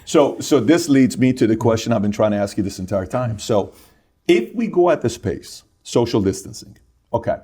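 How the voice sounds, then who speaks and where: Husky voiced host guy, keeps pushing with what-if questions. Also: mid-range voice, lively intonation, normal speed, dark tone. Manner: challenging, pressing with rhetorical questions. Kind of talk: interview discussion.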